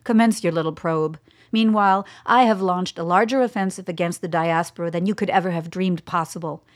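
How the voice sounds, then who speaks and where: A woman's voice: fairly low pitch, steady tone, fairly quick, close to an everyday voice. A woman acting a cool, defiant government doctor in a radio drama, speaking formally and with clipped control. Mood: steely, defiant. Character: cool, steely female government doctor, defiant under threat.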